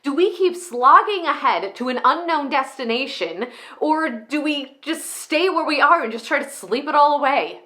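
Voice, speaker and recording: A woman's voice: sits high, lively intonation, moderate pace, sharp tone, pitched above the performer's own voice. A woman performing a comedic monologue as an exasperated, sarcastic, weary parent ranting emphatically in an existential spiral. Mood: despairing, frantic.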